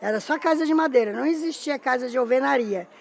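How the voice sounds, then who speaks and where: Gravelly woman recalling her family. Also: high-pitched, fast. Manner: pointing out family in a photo. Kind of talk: casual recollection.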